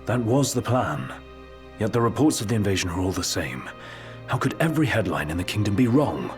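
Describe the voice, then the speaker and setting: An actor playing a composed young royal adviser in a video game, measured, earnest and articulate. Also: male voice, fairly low pitch, very animated, soft background music. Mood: grave, urgent. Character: composed, grave young royal adviser breaking bad news. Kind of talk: video game cutscene acting.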